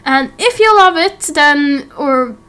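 A young woman in her late teens with a very high voice chats casually to her viewers. She rambles in a scattered, giggly way and often interrupts herself mid-sentence. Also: expressive, takes it slowly, sharp tone. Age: late teens, young adult. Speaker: YouTube roleplay series creator and host.

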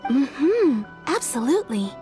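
An actress playing a polite, eager young kimono shopkeeper in an anime-style video game. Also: female voice, sits high, sing-song, slow, dark tone, soft background music, heavily acted. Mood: pleased, obliging.

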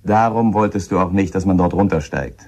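An actor in a German radio drama playing a shrewd, relentless police inspector, husky and insistent as he questions. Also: deep voice, brisk, a little mellow. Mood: relentless, accusing. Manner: probing, insistent.

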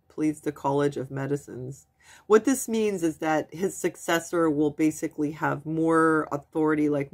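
A woman in her forties with a stuffy nose from a cold, chatting casually into her webcam at home as she reads and translates news articles. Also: low voice. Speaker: vlogger. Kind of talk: casual commentary reading news aloud. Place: small room at home, indoors. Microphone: webcam at desk.

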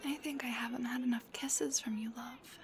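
A woman playing a cuddly, teasing, affectionate girlfriend in an intimate roleplay ASMR, speaking softly and breathily in a half-whisper close to the microphone. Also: a little high, steady tone, moderate pace, lightly acted. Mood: tender, soothing. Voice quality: breathy, soft. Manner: gentle, playful, affectionate.

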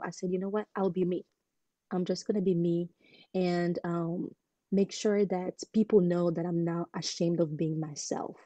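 A woman's voice: on the low side, no room sound, muffled recording. A woman in her twenties, originally from Congo, speaking earnestly as an interview guest over a video call, telling her story with lots of "um" and "you know". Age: 20s. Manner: earnest, animated storytelling with many fillers. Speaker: guest, pageant titleholder. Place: home room indoors.

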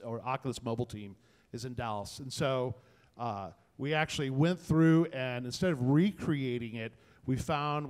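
A man talking casually and enthusiastically as a panelist, in an informal, colloquial way. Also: mid-range voice. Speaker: panelist, tech content creator. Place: indoor event space before a small audience. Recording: reverberant room, panel event.

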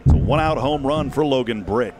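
A man in his thirties calling a baseball game live in short, measured phrases, speaking into a headset mic outdoors. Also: medium pitch, background noise. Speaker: radio play-by-play baseball announcer. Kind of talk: live sports commentary. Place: outdoors at a windy ballpark. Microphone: headset boom mic.

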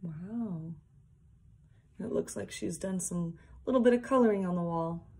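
A young woman reading a picture book aloud for small children, deliberately, with casual asides. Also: lowish voice, darkish tone. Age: young adult. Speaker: early years worker reading a picture book. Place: small plain indoor room, seated at a desk. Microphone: phone or device propped at arm's length.

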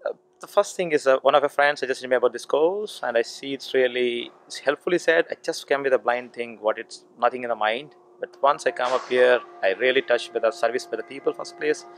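A man's voice: mid-range voice, brisk, slight background noise, rough recording. A man in his forties giving a smiling, heartfelt testimonial outdoors beside some buildings. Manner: warm, smiling, laughs as he speaks. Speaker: retreat participant. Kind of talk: short testimonial. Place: outdoors by buildings on a sunny day. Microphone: camera at close range.

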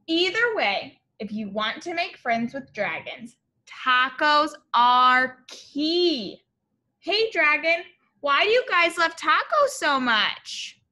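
Casting: female teacher, thirties, high bright voice, expressive picture-book read-aloud for little kids. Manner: expressive, deliberate, explaining to young children. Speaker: elementary teacher reading aloud. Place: school classroom, indoors.

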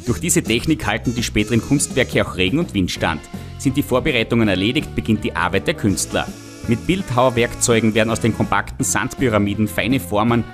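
A man who narrates a regional TV magazine and asks passers-by questions. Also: lowish voice. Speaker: reporter and narrator. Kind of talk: scripted magazine narration and street interviews.

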